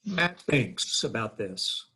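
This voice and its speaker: A man reading the stage directions aloud at a table read in a plain, steady way. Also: mid-range voice, takes it slowly, slightly rough.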